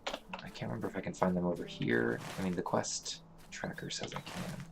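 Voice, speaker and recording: A man hosting a let's play, talking casually through a game with a hoarse, congested voice and many fillers. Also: deep voice, noisy background. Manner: relaxed, halting, thinking aloud with many fillers. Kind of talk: casual gameplay commentary.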